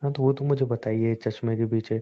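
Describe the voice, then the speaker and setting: A man's voice: medium pitch, steady tone, brisk, a little hiss or hum. A young man chatting casually as a boyfriend, in a teasing, pushy, informal manner. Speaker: boyfriend in a couple's conversation. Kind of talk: casual intimate couple chat in Hindi. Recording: dull, lo-fi call-style recording.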